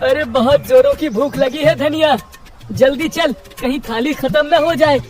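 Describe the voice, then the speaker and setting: A woman's voice: sits high, normal speed, distant mic, over music, pitched above the performer's own voice, natural voice. A woman voicing an effusive, wheedling village diner who lures newcomers in a cartoon.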